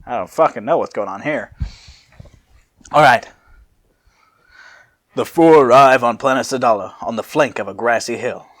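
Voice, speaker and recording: A young man narrating fan fiction as host, casual and sweary with animated asides. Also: medium pitch, moderate pace, pauses often.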